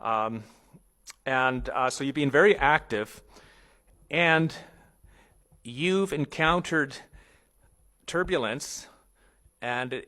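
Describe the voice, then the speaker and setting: A man with a mid-pitched voice moderates a hearing. He speaks formally but conversationally, haltingly reworking his phrasing as he puts things to a witness. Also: sharp tone. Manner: halting, rephrases as they go.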